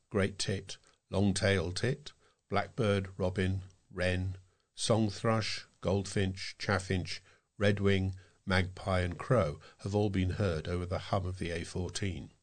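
Low-voiced British man reading aloud. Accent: British English. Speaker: volunteer reader of local news. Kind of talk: scripted narration, reading aloud.

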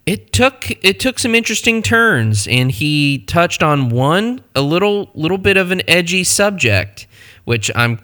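Bright-voiced Midwestern man co-hosting. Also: on the high side. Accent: Midwestern American. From Ohio, USA. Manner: upbeat, jokey, quick asides. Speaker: podcast co-host. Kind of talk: casual podcast chat.

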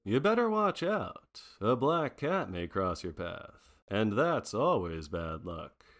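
A man's voice: on the low side, sing-song, takes it slowly, a bit bright, heavily acted. A man playing a wry, unflappable private detective in a 1940s noir radio drama, with a theatrical, wordy manner. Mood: sardonic, teasing. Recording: long reverberant hall sound.

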